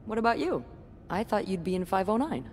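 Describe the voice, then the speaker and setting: An actress playing a friendly, busy hotel worker in a game, chatting in a wry way. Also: female voice, medium pitch, big pitch swings, fairly quick, music in the background, close to an everyday voice. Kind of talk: naturalistic game voice acting. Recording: clearly noisy ambient background.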